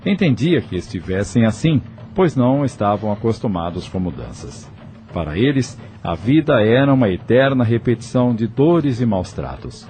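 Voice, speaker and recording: A man's voice: medium pitch, sing-song, normal speed, dark tone. A man with a Brazilian accent narrating a scripted audio novel. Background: music.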